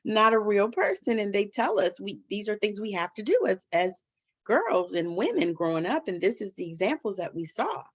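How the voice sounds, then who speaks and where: A woman's voice: medium pitch, very animated, normal speed, husky. A woman hosting an interview, affirming her guest often and asking reflective questions. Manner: affirming, frequent laughs and "mm-hmm". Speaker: podcast host. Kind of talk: interview conversation. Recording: slightly muffled lo-fi audio.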